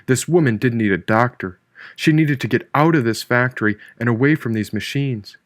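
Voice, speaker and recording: A man narrates a first-person novel as an audiobook reader. His voice sits at a middle pitch. The recording is very dry, with hardly any room sound. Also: normal speed, no echo. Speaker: audiobook narrator, author reading own book.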